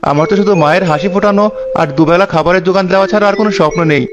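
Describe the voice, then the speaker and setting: A man voicing a poor, kind-hearted young woodcutter in an animated fairy tale, with an earnest and gentle manner. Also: high voice, rapid-fire, close to an everyday voice. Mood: humble, earnest. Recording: dull lo-fi sound. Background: loud background music.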